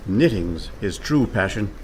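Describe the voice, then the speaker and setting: A man's voice: fairly low pitch, expressive, a little slow, a little mellow, a little hiss or hum, low-quality recording. An actor playing a cheerful, scheming and overconfident con man in a comic radio play, breezy and persuasive. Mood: confident.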